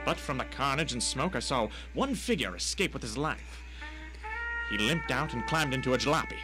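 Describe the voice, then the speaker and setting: A man's voice: mid-range voice, expressive, normal speed, over music, lightly acted, poor audio. A man playing a wisecracking, hapless private detective in a noir radio drama, theatrical and full of old-timey slang. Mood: wry narrating. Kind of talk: hard-boiled noir radio drama narration.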